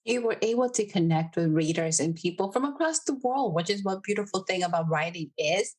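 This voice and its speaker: A woman's voice: medium pitch, animated, brisk, a little mellow. Woman, podcast host, effusive, encouraging, interview questions.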